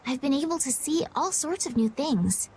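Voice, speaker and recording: Game heroine: gentle young noblewoman, high voice, sincere, some noise behind. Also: lively intonation, moderate pace. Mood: reflective. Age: young adult. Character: earnest, gentle young noblewoman, impulsive and self-critical. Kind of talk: video game voice acting.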